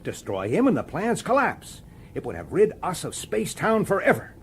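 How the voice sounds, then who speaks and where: An actor playing a weary, dogged middle-aged police detective in a science fiction radio drama, pressing and intense. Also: male voice, high voice, huge pitch swings, moderate pace, a little hiss or hum, heavily acted. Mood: accusing, triumphant. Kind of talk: radio drama acting with first-person narration.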